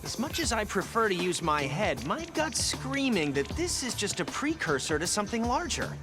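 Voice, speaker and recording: A man's voice: high voice, very animated, moderate pace, music in the background. A man playing a brainy, articulate teenage mutant turtle in a cartoon video game, precise and analytical. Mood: analytical, puzzled.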